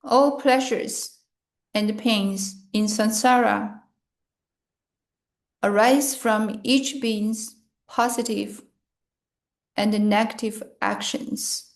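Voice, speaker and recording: A woman reading a scripted guided meditation in short, measured phrases with pauses between them. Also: medium pitch, fairly even intonation, gravelly.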